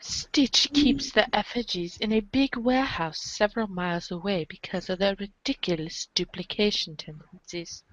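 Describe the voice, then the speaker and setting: A woman's voice: medium pitch, moderate pace, hardly any pauses, dry recording, poor audio. A woman reading scripted comic narration aloud for a podcast. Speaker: podcast reader of a webcomic.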